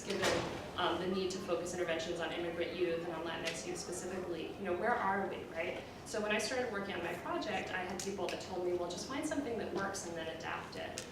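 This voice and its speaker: A woman's voice: fairly low pitch, a little fast, hardly any pauses. A woman researcher giving an academic lecture with slides in a semi-conversational, explanatory way, with frequent ums and you-knows. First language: bilingual, Puerto Rican background. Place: indoor lecture room. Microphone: distant room microphone. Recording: roomy echo, distant mic, clear background noise.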